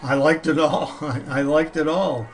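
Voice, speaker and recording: A man's voice: a little high, fairly even intonation, moderate pace, soft background music. An older man as an interview guest, giving brief, casual answers with dry humor. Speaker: interview guest, father of the hosts.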